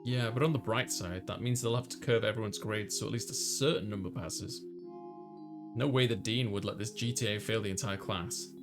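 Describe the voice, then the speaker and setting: A man's voice: lowish voice, steady tone, normal speed, over music. A man reading a visual novel aloud as a casual first-person narration for a playthrough.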